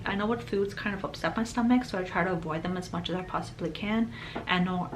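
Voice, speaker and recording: A woman's voice: medium pitch, slight background noise, rough recording. A woman in her thirties talks through her day in a loose, chatty voice-over. She rambles a little and leans on "um" and "like." Her voice is slightly off from a cold she is still getting over. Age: 30s. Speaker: planner vlogger. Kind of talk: casual voice-over narration.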